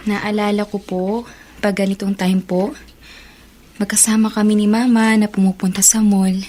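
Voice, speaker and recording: A woman's voice: medium pitch, flat delivery, moderate pace, mellow, natural voice. A woman playing a wistful, loyal young Filipino granddaughter in a radio drama, with an earnest, plaintive delivery. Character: wistful, loyal young granddaughter missing her absent mother.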